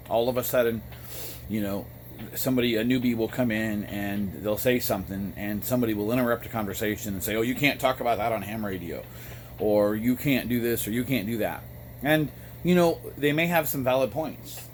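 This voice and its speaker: An American man talking in a casual, rambling monologue, with a halting delivery that often pauses mid-thought. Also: medium pitch. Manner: halting, frequent throat clearing between phrases. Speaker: amateur radio vlogger. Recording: noticeable background noise.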